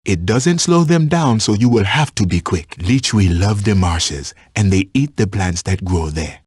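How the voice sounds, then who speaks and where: A patient safari tracker from a video game, kinda husky low voice, lively pitch, giving hunting tips. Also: male voice, a little slow, no echo, studio quality. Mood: calm, instructive. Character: patient, watchful male African safari tracker guiding a hunter. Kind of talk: scripted video game voice lines.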